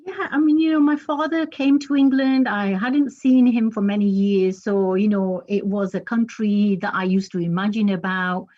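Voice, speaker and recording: A woman poet and teacher whose first language is Urdu, reading her poems and speaking earnestly on stage, with a halting manner and many restarts. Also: mid-range voice, muffled recording. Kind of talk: poetry reading and stage interview.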